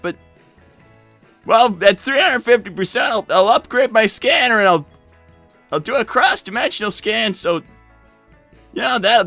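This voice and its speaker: Male performer as young cartoon fox, high-pitched, stammering, exasperated, earnest, swooping intonation, improvised live roleplay. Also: sharp tone, no room sound, heavily acted. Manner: stammering, repeats words, exasperated.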